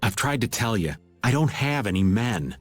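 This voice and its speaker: A man's voice: medium pitch, animated, normal speed, no echo, natural voice. An actor playing a laid-back, middle-aged male archer who drawls and cracks jokes. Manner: drawling, wisecracking. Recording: game music underneath.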